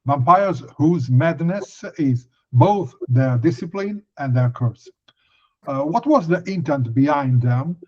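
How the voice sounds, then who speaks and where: A man's voice: a little high, lively intonation, slow, a little mellow. Male interviewer on dull lo-fi audio. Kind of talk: conversational interview questioning.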